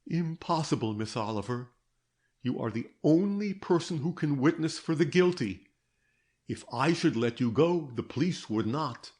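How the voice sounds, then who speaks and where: A man voicing a stern, patient older detective in a storybook reading, pressing his questions in a grave, measured way. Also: on the high side, animated, darkish tone, voice pushed up, natural voice.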